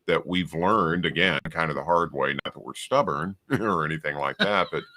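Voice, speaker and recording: A man's voice: extremely low pitch, moderate pace, sharp tone, no echo. A man reflecting casually in conversation about shared spiritual experiences, as a guest on a radio show.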